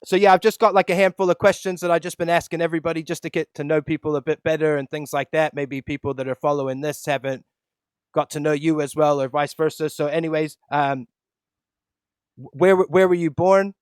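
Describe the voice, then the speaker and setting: An easygoing American man hosts a relaxed podcast chat over a video call. His voice is smooth and clear, sitting a little high for a man. The sound is dry and close. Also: fairly quick, dry recording. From Los Angeles, USA. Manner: laid-back, says "bro" constantly. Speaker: podcast host.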